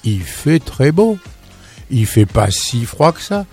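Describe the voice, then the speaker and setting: Man, radio host, lively intonation, exclamatory, talkative, music in the background, studio mic. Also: mid-range voice, takes it slowly, husky. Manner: chatty, digressive, exclamatory. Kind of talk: lively radio show presenting and interviewing. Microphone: studio broadcast microphone.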